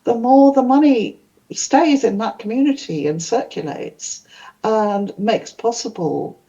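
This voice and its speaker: A woman's voice: on the high side, unhurried, warm tone, lo-fi sound. Older Welsh woman, thoughtful conference speaker. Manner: thoughtful, many fillers and restarts. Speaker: panel speaker. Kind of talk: conference panel talk.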